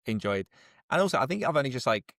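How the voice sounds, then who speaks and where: A man's voice: mid-range voice, big pitch swings, fast, vocal fry, fairly bright tone, no echo, clean studio sound. A man hosting a podcast in casual conversation, informal and amused. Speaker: podcast host. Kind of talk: casual interview chat.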